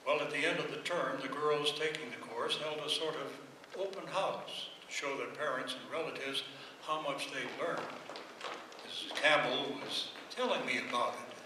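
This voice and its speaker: A man playing a genial, folksy announcer and sponsor pitchman in an old-time radio serial, reading scripted lines on stage. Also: normal speed, pushed and bright, lightly acted. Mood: folksy narrating. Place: stage of a live radio play performance. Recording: echoey hall, far from mic, clear noise.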